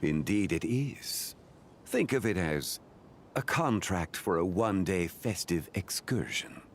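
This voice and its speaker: Very deep, dignified game deity. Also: male voice, lively intonation, a little hiss or hum, full character voice. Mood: calm, courteous. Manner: formal, measured, courteous diction. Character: calm dignified male deity, formal and unhurried. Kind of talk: video game voice acting.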